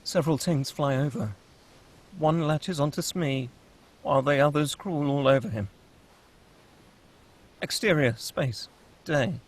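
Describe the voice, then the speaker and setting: A man's voice: on the high side, unhurried, long pauses. A man reading scripted screenplay action and scene headings as the narrator of a table read. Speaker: narrator reading stage directions.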